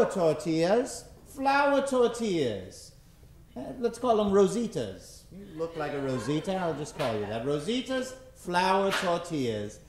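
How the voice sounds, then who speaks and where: A man's voice: very high-pitched, echoey room, a little hiss or hum. A man hosting a live improv show from a theater stage, with theatrical, showman-like patter for the audience. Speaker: host.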